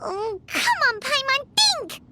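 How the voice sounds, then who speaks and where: Squeaky, very high-pitched fairy sidekick. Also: female voice, big pitch swings, slow pace, very noisy, full character voice. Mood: alarmed, fretful. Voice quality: squeaky, childlike. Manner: refers to self by name, exclaiming, whiny. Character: tiny excitable fairy companion, childlike, fretful, third-person talker. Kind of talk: animated video game voice acting.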